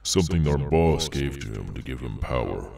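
A man voicing a cursed, inhuman henchman in an ASMR roleplay, bored, sardonic and menacing, with an unhurried dry delivery. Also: expressive, darkish tone, far from the mic, exaggerated voice. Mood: dryly explaining. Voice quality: very deep, gravelly. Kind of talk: ASMR audio roleplay, slow dramatic monologue.

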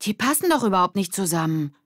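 Woman acting cheeky, animated schoolgirl. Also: mid-range voice, quick pace, no room sound, studio quality, pitched above the performer's own voice. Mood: indignant. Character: spirited, cheeky schoolgirls in a gang.

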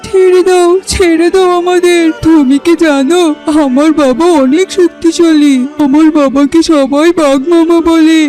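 A woman's voice: very high voice, steady tone, unhurried, dark tone. A woman voicing a cheeky, defiant young animal child in a children's cartoon, speaking in an insistent, demanding way. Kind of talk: acted children's cartoon dialogue. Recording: music behind the voice.

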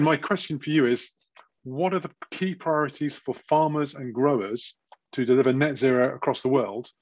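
A man's voice: medium pitch, husky, dry recording. A middle-aged man chairing a panel on a video call, formally introducing the next speaker. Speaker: chair, host. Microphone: video call with earbuds. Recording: dry, dull lo-fi call audio.

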